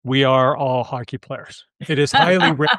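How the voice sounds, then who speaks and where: A man's voice: mid-range voice, moderate pace, a bit bright, no echo, clean studio sound. A Canadian man hosting a podcast interview, speaking in a friendly, enthusiastic way and often restarting his phrases. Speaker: podcast host. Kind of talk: conversational interview.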